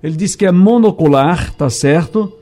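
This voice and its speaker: Man, radio host, high voice, mellow tone, friendly, brisk, greets callers warmly. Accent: Brazilian Portuguese. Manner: friendly, brisk, calls listeners dear. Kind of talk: radio call-in hosting.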